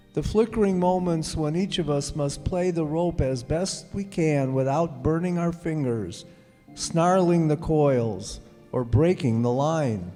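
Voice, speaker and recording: A man playing a measured, mellow, reflective author. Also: fairly high pitch. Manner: measured, philosophical. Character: reflective author solemnly introducing his own story. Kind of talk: scripted radio monologue. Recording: echoey hall with music behind.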